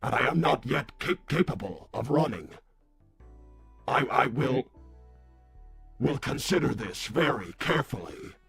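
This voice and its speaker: Thoughtful robot, quiet, slow, very gravelly, formal stutter, livestream roleplay, faint music behind. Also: male voice, mid-range voice, lively intonation, exaggerated voice. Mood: hesitant. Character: soft-spoken, deliberate, halting robot hedgehog philosopher. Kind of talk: improvised character roleplay on a livestream.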